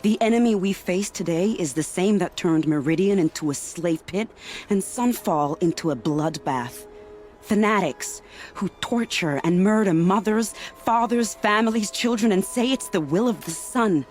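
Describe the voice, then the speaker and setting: A woman's voice: mid-range voice, moderate pace, darkish tone, lightly acted. A woman acting a poised, sly spy with a fierce streak in a video game, arch and dramatic. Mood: fierce resolve. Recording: music behind the voice.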